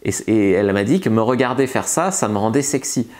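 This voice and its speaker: A man's voice: on the low side, lively intonation, fairly quick, darkish tone. Casual, chatty male seduction coach. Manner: loose and chatty, frequent filler words and restarts. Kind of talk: casual advice monologue to camera.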